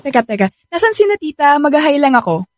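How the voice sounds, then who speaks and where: A woman's voice: high voice, sing-song, fast, dry recording, studio quality. An actress playing an affectionate, easily hurt young girlfriend in a radio drama, with a Filipino accent and a playful, coaxing delivery. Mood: bright, coaxing.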